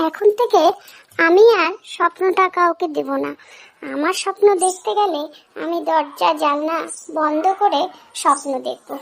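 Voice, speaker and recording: A woman's voice: very high-pitched, creaky, heavily acted. A woman voicing a plaintive young boy in a children's cartoon, speaking in a repetitive, childlike way. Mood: delighted. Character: whiny young boy who loves chocolate dreams.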